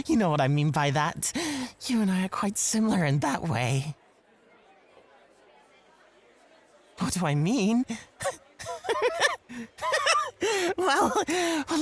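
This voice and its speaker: A man's voice: mid-range voice, husky, exaggerated voice. A man playing a sly, manipulative club host and jealous suitor in an ASMR roleplay, teasing and flirtatious with theatrical emphasis. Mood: taunting, seductive.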